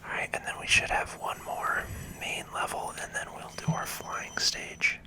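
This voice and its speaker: A man giving soft, unhurried ASMR commentary on a video game in a breathy whisper. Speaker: gaming video host. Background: video game music.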